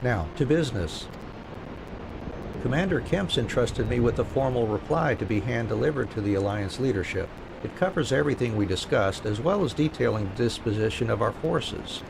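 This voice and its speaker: A man's voice: medium pitch, moderate pace, vocal fry. A man streaming a game, reading story dialogue aloud and chatting casually. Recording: steady noise behind the voice.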